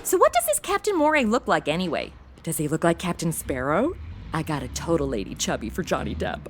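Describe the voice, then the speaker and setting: A woman's voice: low voice, wildly swooping pitch, a little fast, slight background noise. A woman playing a flippant, self-centered young American in a comedy audio drama, with slangy, sarcastic, exaggerated delivery.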